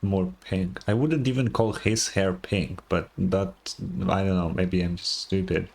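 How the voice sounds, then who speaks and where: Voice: deep voice, steady tone, a little slow, darkish tone. A streamer giving casual, slangy reaction commentary on a game, in a laid-back manner. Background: game music.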